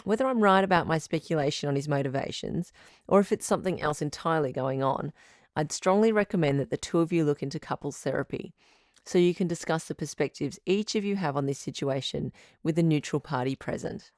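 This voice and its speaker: Calm supportive lady doctor on a radio call-in drama, deep voice, careful professional wording, close dry sound. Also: no room sound. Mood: measured, advising. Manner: measured, articulate, professional counselling tone. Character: calm, supportive woman doctor hosting radio advice show. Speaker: radio advice show host, sex therapist. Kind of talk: scripted audio drama as call-in radio advice.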